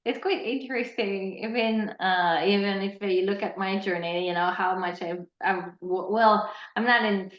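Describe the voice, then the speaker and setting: A woman hosting a podcast in casual interview talk, greeting her guest with warm, effusive words. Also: medium pitch, fairly even intonation, moderate pace, few pauses. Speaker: podcast host. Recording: echoey, dull lo-fi room.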